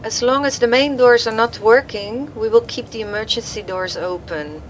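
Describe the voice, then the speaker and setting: This is a woman acting as a male building security guard in an audio drama. He is helpful and matter-of-fact, slightly high in pitch, with faint noise in the background. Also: moderate pace, close to an everyday voice, rough recording.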